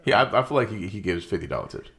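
A young man co-hosting a podcast, talking loosely about movies in slangy, animated casual chat with lots of fillers. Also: lowish voice, racing. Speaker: podcast co-host.

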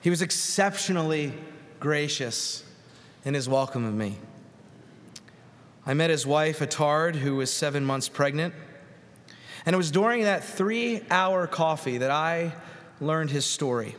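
A middle-aged man, a Presbyterian pastor, preaching a sermon in a church with earnest storytelling, pausing and repeating words. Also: a little high, expressive, a bit bright. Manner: earnest storytelling with pauses and repetitions. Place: church sanctuary. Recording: long hall reverb.

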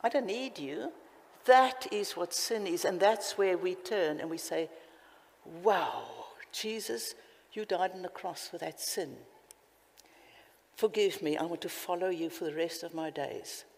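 A woman's voice: fairly low pitch, measured pace, vocal fry. A woman with a South African accent preaching a passionate, emphatic sermon. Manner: passionate, emphatic, with sudden loud lifts. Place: church hall. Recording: reverberant hall.

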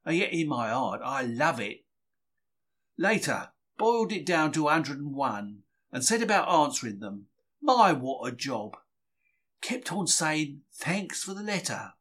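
A man's voice: a little high, very animated, measured pace, fairly bright tone, full character voice. A man reading a diary as a chatty, self-deprecating 1940s market bookseller, wry and plain-spoken. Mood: grumbling, amused. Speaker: reader.

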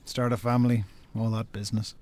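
An actor playing a practical, supportive Irish farmer's brother in a naturalistic radio drama. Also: male voice, on the low side, little pitch movement, a little fast, a little mellow, close to an everyday voice. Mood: calm, reassuring.

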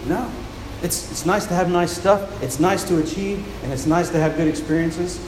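A soft-spoken man preaches into a handheld mic, his voice sitting a little high for a man. He often repeats words as he feels his way through each point. The sound rings around a large, echoing hall with steady background noise. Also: moderate pace. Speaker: pastor. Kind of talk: sermon with scripture reading. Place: church with a large echoing room. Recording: echoey, reverberant hall with noise.

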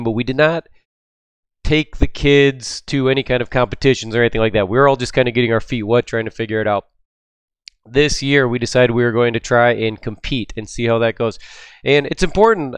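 A man's voice: medium pitch, clear, resonant tone, no echo. A middle-aged man hosting his own podcast, talking casually and upbeat with lots of ums, his voice a little stuffed up. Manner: chatty and upbeat, with frequent ums. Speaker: podcast host. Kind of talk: casual solo podcast talk.

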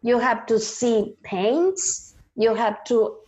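A woman's voice: fairly high pitch, expressive, slow, darkish tone, muffled recording. A woman with a Mexican Spanish accent and Spanish as her first language. She is an actress in a podcast interview, searching for English words and slipping into Spanish.

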